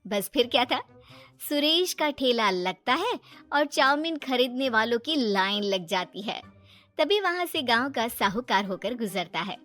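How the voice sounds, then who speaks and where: A woman's voice: on the high side, animated, unhurried, pushed and bright, soft background music. A woman narrating a scripted moral story for children.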